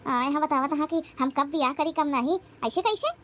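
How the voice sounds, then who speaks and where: A woman voicing a sharp-tongued, angry old village wife in a comedy cartoon, scolding and taunting with an Awadhi accent. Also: sits high, a little fast. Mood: furious, threatening. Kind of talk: rustic comedy cartoon acting.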